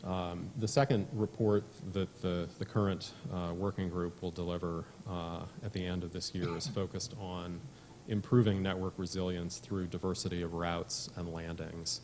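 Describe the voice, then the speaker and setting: A man giving a measured policy presentation at a conference, with frequent fillers. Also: low voice, little pitch movement, darkish tone, a little hiss or hum. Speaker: conference speaker.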